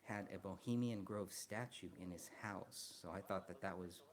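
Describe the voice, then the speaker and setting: Soft-spoken man with a very low voice. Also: normal speed. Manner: soft-spoken, hesitant, many ums and restarts. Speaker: live stream host. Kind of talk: casual live stream chat. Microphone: close mic.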